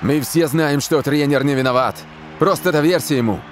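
A man's voice: a little high, normal speed, noisy background, lightly acted. A man voicing a laid-back, loyal football captain in a dubbed cartoon. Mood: reassuring.

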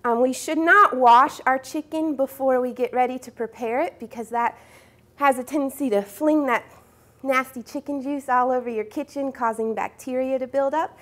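Young woman, high-pitched, cooking demonstration, friendly explaining, studio kitchen, lapel mic. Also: steady tone, normal speed, a bit bright. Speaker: cooking show host. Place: home-style studio kitchen.

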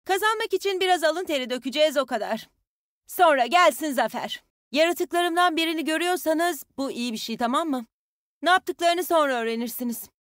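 A woman's voice: high voice, animated, a little fast, a bit bright, dry recording, studio quality. An actress playing a spirited, tough young woman fighter in a video game, with folksy, confident call-outs to her team. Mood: determined, encouraging. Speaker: game character voice actor.

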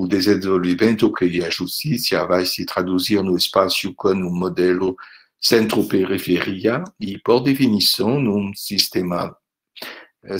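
Slow, halting older Frenchman on a video call. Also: lowish voice. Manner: halting, many fillers and tag questions. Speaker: visiting professor. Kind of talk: closing remarks of an online lecture. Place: home room indoors. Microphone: laptop webcam on a video call.

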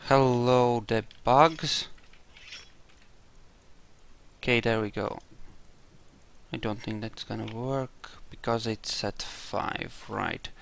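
Slow-talking man with a clear, smooth voice. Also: mid-range voice, a bit bright. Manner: informal, muttering to himself, sweary. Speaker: let's play host. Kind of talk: casual gameplay commentary, partly role-played.

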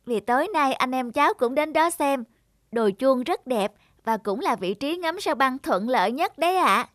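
A woman's voice: high-pitched, animated, normal speed, fairly bright tone, no room sound, clean studio sound. An actress playing a kind, caring young girl in a cartoon, speaking politely and earnestly. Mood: cheerful, polite. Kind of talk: animated moral tale voice acting.

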